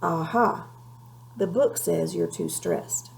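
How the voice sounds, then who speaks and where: A woman's voice: on the low side, huge pitch swings, takes it slowly, gravelly, a little mellow, slight background noise, heavily acted, low-quality recording. A woman voicing a kindly, earnest male knight in a storybook, with an encouraging, explanatory manner.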